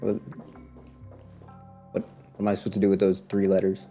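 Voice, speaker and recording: A man's voice: deep voice, completely flat delivery, moderate pace, mellow, soft background music. A man streaming a game, giving casual live reactions full of sarcastic, joking asides.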